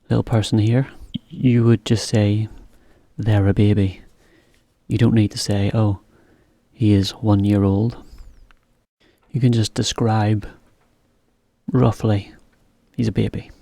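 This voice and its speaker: A man teaching a beginner English lesson, explaining slowly in plain words with example sentences. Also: fairly low pitch, warm tone, no echo.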